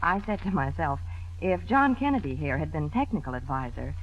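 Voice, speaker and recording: Lively female Hollywood reporter, 1940s radio patter, dull recording. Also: deep voice, brisk. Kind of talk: 1940s radio scripted promotional talk. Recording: dull, faintly noisy vintage radio recording.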